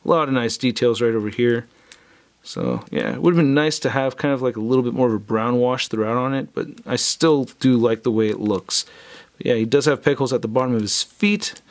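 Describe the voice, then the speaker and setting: A man's voice: mid-range voice, expressive, fairly quick. A man hosting a casual, unscripted review video, talking in a chatty, informal, slangy way. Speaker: toy review video host.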